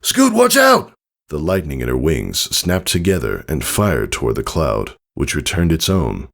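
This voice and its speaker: Very deep man narrating, lively intonation. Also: normal speed, gravelly. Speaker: fan fiction narrator. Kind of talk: scripted dramatic story narration.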